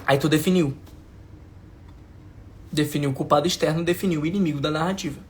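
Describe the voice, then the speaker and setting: A man's voice: a little high, fairly even intonation, fairly quick, a little hiss or hum. A man with a Brazilian Portuguese accent, teaching a live class as a mentor, emphatic and informal, repeating his points and checking whether the audience follows. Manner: emphatic, repeats lines, asks the audience if it makes sense; informal slang, talks to viewers directly. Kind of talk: live online class lecture.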